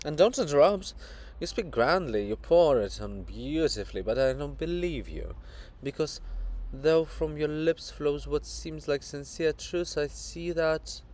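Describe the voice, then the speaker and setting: A man's voice: fairly high pitch, expressive, measured pace, darkish tone. A man voicing a sly, grandiloquent poet monument, teasing and persuasive, with emphatic theatrical delivery in a dramatic reading.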